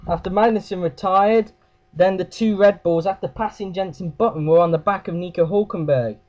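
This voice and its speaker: A man with a croaky voice giving a casual race recap, hesitant, with fillers and self-corrections. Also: high voice, moderate pace, a little mellow, muffled recording. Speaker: race review host.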